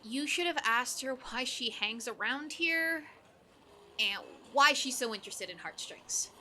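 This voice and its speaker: A woman playing a brash, excitable young coworker and friend in an audio drama, outspoken and chatty. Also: high-pitched, measured pace, a little hiss or hum, heavily acted. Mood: teasing, curious. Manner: exuberant, outspoken, nosy.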